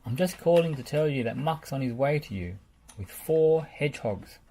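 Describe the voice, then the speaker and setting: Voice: medium pitch, lively intonation, moderate pace, dark tone, pitched above the performer's own voice, lightly acted. A storyteller voicing a friendly, capable builder man, a cheerful boss in a children's storybook, with background noise. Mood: businesslike.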